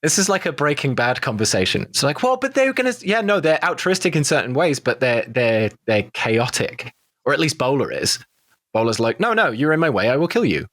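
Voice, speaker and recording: A man's voice: medium pitch, very animated, a little fast, a bit bright, dry recording. A man with a mid-range voice talks casually among fellow players. He is opinionated and repeats words for emphasis. The sound is dry, with very little room. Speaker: podcast cast member and player. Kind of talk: casual roundtable chat.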